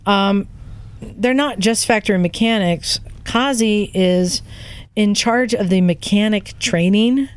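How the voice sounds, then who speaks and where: A woman chatting casually as a podcast co-host, telling a story in an animated way and acting out what people said. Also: medium pitch, takes it slowly, clean tone, no echo. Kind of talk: casual storytelling in a group chat.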